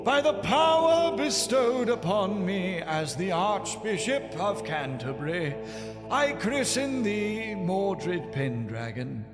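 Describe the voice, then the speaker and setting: Solemn archbishop, high pitch, animated intonation, ceremonious, audio drama, echoing hall, music. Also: male voice, full character voice. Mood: solemn proclamation. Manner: ceremonious, measured proclamation.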